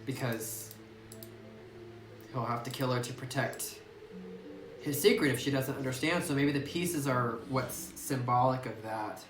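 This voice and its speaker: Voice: mid-range voice, unhurried, pauses often, over music. Rambling gamer in an echoey room. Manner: rambling, thinking aloud, heavy use of "like". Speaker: gameplay commentator. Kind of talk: casual let's-play commentary. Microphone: phone microphone. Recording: roomy echoey phone recording.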